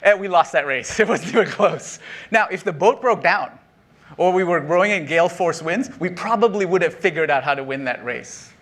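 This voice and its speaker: A man's voice: high-pitched, normal speed, fairly bright tone. A middle-aged man from Sri Lanka giving a keynote talk, chatty and self-deprecating, laughing at his own jokes with many ums. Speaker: conference speaker. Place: conference stage.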